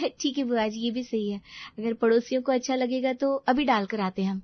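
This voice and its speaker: A woman's voice: fairly high pitch, moderate pace, dry recording, muffled recording, close to an everyday voice. A woman voicing a naive, literal-minded young daughter-in-law in a cartoon, innocent and obliging. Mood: cheerful.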